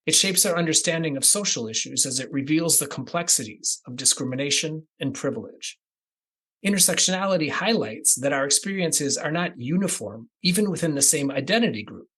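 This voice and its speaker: A man from the American Midwest hosting a podcast, reading a scripted introduction in a measured, deliberate cadence that moves through lists. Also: a little high, a bit bright, slightly rough. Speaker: podcast host.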